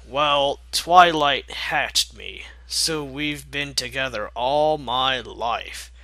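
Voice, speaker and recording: A man's voice: mid-range voice, sharp tone, dry recording, full character voice. A man voicing a friendly little male cartoon dragon in a story reading. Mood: earnest. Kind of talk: fan fiction character reading.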